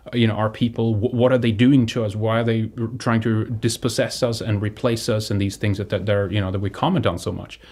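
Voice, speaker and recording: Low-voiced man from Sweden, halting delivery. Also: darkish tone. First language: Swedish. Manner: halting, repeats and restarts words. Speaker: online show host. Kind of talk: unscripted appeal to viewers.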